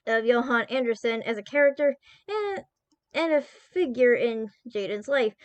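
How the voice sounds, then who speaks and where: Woman from Texas, casual vlog host, rambling, profane, slightly high voice, dry close mic. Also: dry recording, dull audio. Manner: rambling, profane, self-interrupting. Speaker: channel host. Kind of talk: casual chat.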